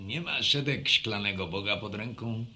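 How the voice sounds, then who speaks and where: An actor playing a boastful, wry ex-soldier villager with a taste for drink, telling tales in a mock-pompous drawl in a radio drama. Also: male voice, lowish voice, moderate pace, bright, forceful tone, a little hiss or hum. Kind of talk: Polish radio drama acting.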